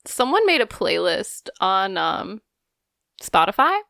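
A woman's voice: medium pitch, sing-song, takes it slowly, gravelly, pushed and bright, slight background noise. A young woman co-hosting a podcast, chatting casually with hesitant restarts and giggles. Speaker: podcast co-host. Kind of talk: casual chat.

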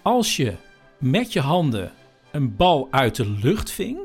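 A man's voice: medium pitch, very animated, slow pace, slightly rough, soft background music. A man hosting a podcast with informal scripted narration. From Netherlands. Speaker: podcast host.

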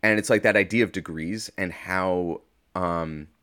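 A man's voice: low-pitched, unhurried, a bit bright, smooth. A man talking casually as a podcast guest, informal and often searching for his words. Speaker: podcast guest, audio fiction creator. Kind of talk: casual interview chat.

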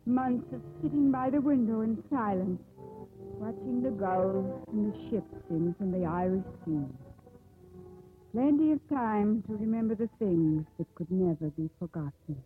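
An actress playing a strong-willed young ship captain in a 1950s radio drama, speaking in a crisp, commanding way. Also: female voice, mid-range voice, unhurried, faint music behind, close to an everyday voice. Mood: wistful. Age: young adult. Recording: muffled old 1950s radio recording.

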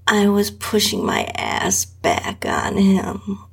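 Breathy, very slow-speaking woman storyteller. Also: medium pitch, wildly swooping pitch. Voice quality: breathy, often near a whisper. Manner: slow, sultry, drawn-out delivery. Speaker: podcast host and story narrator. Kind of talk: intimate first-person erotic audio story narration.

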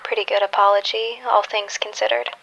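A woman acting as a guarded, wounded superhero in an audio drama. Her mid-pitched voice has plenty of creak, and she delivers lines in a terse, dry way. The recording is dull and lo-fi, with noticeable noise behind her. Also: level tone, brisk, a bit bright, natural voice. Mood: weary, wry. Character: guarded, wry, wounded female superhero with dangerous gaze.

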